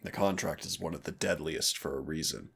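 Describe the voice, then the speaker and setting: Demon hunter mentor for audio roleplay , male actor: very low, husky, teasing yet stern, measured dramatic delivery. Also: fairly bright tone, exaggerated voice. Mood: grave, wry. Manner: teasing, deliberate, dramatic pauses. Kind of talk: audio roleplay acting, intimate second-person dialogue.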